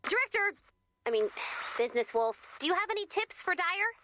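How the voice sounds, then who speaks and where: A woman's voice: extremely high pitch, big pitch swings, fairly quick, sharp tone, slight background noise, full character voice. A woman playing a peppy, encouraging young mission leader in a video game, chatty and coaxing. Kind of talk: video game character voice acting.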